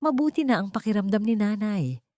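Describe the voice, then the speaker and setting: A woman voicing a betrayed wife in an audio drama, calm on the surface and quietly bitter. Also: mid-range voice, brisk, dry recording, clean studio sound, pitched above the performer's own voice, close to an everyday voice.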